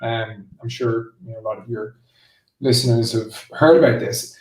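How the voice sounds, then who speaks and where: A man's voice: mid-range voice, fairly even intonation, normal speed, darkish tone. A man answering as a guest in a business interview, explaining things conversationally with plenty of "like" and "you know". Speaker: interview guest, marketing executive. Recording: roomy echo, distant from the mic.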